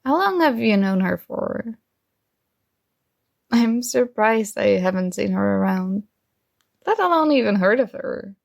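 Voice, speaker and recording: A woman playing a secretly jealous, teasing roommate in an intimate one-sided ASMR roleplay, with hesitant pauses. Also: medium pitch, expressive, gravelly. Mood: skeptical, prodding. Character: secretly jealous female roommate, teasing, hurt but feigning indifference.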